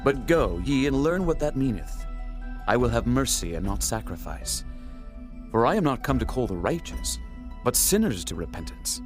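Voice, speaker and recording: An actor playing a calm, authoritative teacher in a dramatized audio Bible, solemn and measured. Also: male voice, medium pitch, soft background music, lightly acted.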